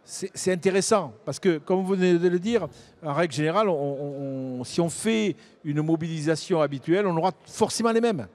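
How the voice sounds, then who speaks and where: A man speaking as a local elected official on a panel, haltingly, repeating words as he searches for the next. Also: on the high side, darkish tone. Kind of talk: panel discussion, unscripted.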